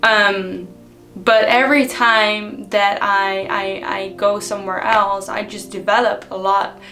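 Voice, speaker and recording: A woman from the Netherlands, giving an unhurried, reflective motivational talk to her viewers with frequent ums. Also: mid-range voice, steady tone, bright, forceful tone, faint music behind. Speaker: personal development vlogger.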